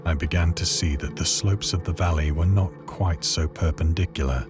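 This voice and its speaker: A man playing a haunted castaway, narrating a horror tale in a measured, solemn, literary manner for a game. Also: low-pitched, steady tone, lightly acted. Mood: solemn, awed. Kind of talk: scripted dramatic narration of a horror story. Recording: loud music under the voice. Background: loud music.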